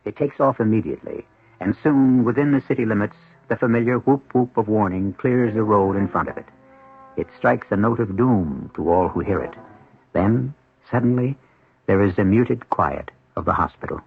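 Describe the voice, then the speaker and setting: An actor narrating a 1970s radio mystery as a grave storyteller, solemn, measured and dramatic. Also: male voice, fairly low pitch, narrow, tinny audio, close to an everyday voice. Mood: grave, ominous. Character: grave, ominous male storyteller. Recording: thin, humming old radio broadcast.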